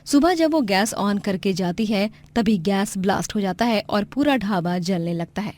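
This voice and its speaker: A woman's voice: mid-range voice, expressive, normal speed, darkish tone. A woman reading scripted narration for a moral story.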